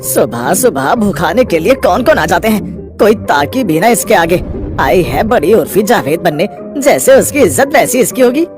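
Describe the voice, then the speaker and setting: A woman voicing scornful rough men. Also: medium pitch, sing-song, moderate pace, lightly acted. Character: rough male men of the town, master ji, Sahil, goon. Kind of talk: storybook character voices. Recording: faint music behind.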